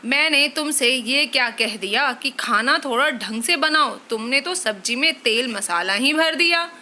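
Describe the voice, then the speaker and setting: A woman voicing an irritable young husband who scolds his wife loudly in a storybook reading. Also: high-pitched, measured pace. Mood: irritated. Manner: harsh, complaining, loud.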